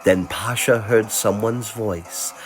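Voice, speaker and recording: A man reading a scripted story as narrator, theatrical and deliberate, with dramatic pauses between phrases. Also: on the low side, soft background music. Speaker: narrator, voice performer.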